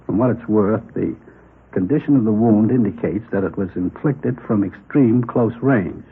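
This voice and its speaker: Male crime lab technician, clinical and lowish-voiced, vintage radio police drama, thin noisy sound. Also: moderate pace, breathy edge, narrow, tinny audio. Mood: clinical, methodical. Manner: methodical, clinical delivery.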